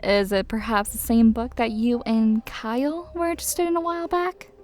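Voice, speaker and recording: A woman's voice: a little high, normal speed, darkish tone, soft background music, close to an everyday voice. A woman acting a nosy, blunt young best friend in a game roleplay drama, with pointed, dramatic questioning. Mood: probing.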